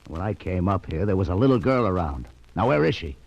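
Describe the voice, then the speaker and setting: Low, gruff police lieutenant. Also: male voice, very animated, a little fast, darkish tone, heavily acted. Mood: gruff, suspicious. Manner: brusque, bossy, interrogating. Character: gruff, suspicious police lieutenant, grown man. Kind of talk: 1940s radio acting. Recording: dull vintage radio recording.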